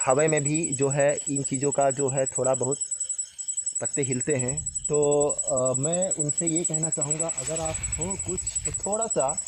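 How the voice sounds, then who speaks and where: A man with a slightly high voice hosts a ghost-hunting vlog outdoors at night. He explains things earnestly and haltingly, often repeating himself. There is faint background noise of crickets and insects. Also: fairly even intonation, mellow. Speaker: paranormal vlog host. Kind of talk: ghost-hunting vlog, addressing spirits and viewers. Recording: noisy outdoor night recording with insect sounds.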